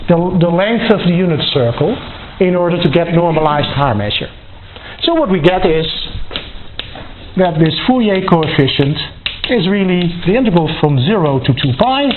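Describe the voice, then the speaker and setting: A man giving a university lecture, explaining step by step in a deliberate, halting way and often repeating and rephrasing his words. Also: a little high. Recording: tinny phone-like band, noise, clicks.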